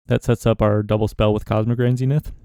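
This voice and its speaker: Low mellow-voiced man musing over his plays. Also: a little fast, clean tone, no echo, studio quality. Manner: relaxed, musing, talks himself through plays. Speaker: gaming content creator. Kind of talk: thinking-aloud card game commentary.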